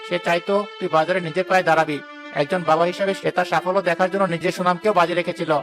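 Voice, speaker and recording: A man's voice: sits high, flat delivery, racing, lightly acted. A man playing a frank, wise village uncle who speaks earnestly in a moral-story cartoon. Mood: solemn. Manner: earnest, admonishing. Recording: instrumental music under the voice.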